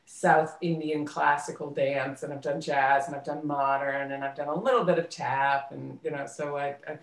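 A low-pitched American woman speaks as a guest in a casual interview. She recounts her own story reflectively, pausing to rephrase. The sound is roomy and echoey. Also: steady tone. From United States, suburbs of Washington DC, then California. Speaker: interview guest, theater actress and director.

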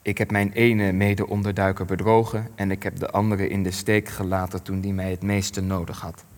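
Old war survivor, low voice, grave and guilt-ridden, radio play, big echoey reverb. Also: male voice, a little mellow. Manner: sombre, measured, short weighty phrases. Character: elderly male war survivor, grief-stricken, ashamed, plain-spoken. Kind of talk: radio play acting, testimony. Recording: long hall-like reverb.

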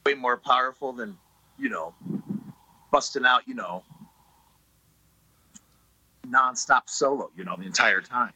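Husky, bright-toned middle-aged man. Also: medium pitch, measured pace, long pauses, dry recording, low-quality recording. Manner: relaxed storytelling, many fillers. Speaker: blues musician guest. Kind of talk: casual interview chat.

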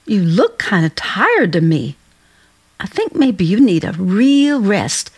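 A woman's voice: mid-range voice, big pitch swings, slow, slightly rough, heavily acted. A woman acting in a radio play as a chatty, solicitous working-class landlady with a folksy, motherly, fussing manner.

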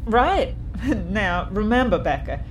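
An actress playing a brash, affectionate, wealthy shuttle tycoon in an audio drama, talking in a folksy, effusive way. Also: female voice, mid-range voice, very animated, takes it slowly, slight background noise, poor audio. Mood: fond, earnest. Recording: music under the voice.

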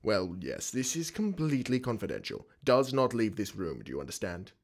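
An Australian actor playing a creepy, formal school headmaster in a radio drama, ingratiating and deliberate. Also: male voice, lowish voice, very animated. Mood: ingratiating, secretive. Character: creepy middle-aged male school headmaster, smooth-talking, secretive.

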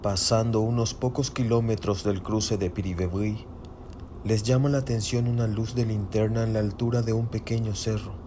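A man's voice: lowish voice, steady tone, normal speed, noisy background. A man narrating a scripted suspense audio drama.

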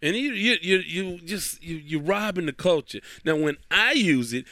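A man's voice: sits high, lively intonation, normal speed, sharp tone, no room sound. A man hosting his own podcast in casual, rambling talk, nasal and stuffy from a cold. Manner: rambling, chatty, repeats himself. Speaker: podcast host.

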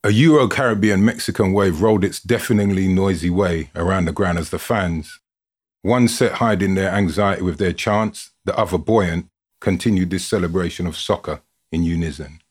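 A man with a husky voice giving an expressive, dramatic scripted reading, like a storyteller narrating a written account. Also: deep voice, moderate pace. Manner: expressive, dramatic delivery with built-up lists.

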